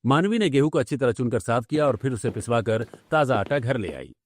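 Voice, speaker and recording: A man's voice: mid-range voice, animated, a little fast. A man narrating a scripted domestic story for a cartoon.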